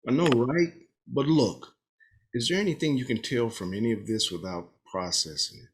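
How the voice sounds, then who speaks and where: A man's voice: medium pitch, normal speed, natural voice. A man playing an eager, jittery police inspector from Detroit in a comedy table read, chummy and seeking reassurance. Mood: chummy, persuasive.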